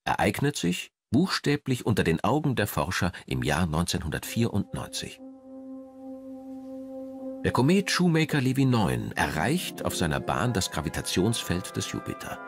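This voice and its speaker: A man reading scripted documentary narration with measured, dramatic phrasing. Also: on the low side, huge pitch swings, music in the background.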